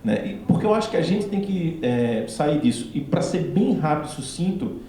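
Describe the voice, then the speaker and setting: A man's voice: a little high, moderate pace. A man from Recife with a strong Pernambuco accent, telling a story animatedly in a casual discussion after a film screening. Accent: Pernambuco Northeastern Brazilian. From Recife, Pernambuco, Brazil. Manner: animated storytelling, laughs often. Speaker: actor, panel guest. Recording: very echoey hall, some noise.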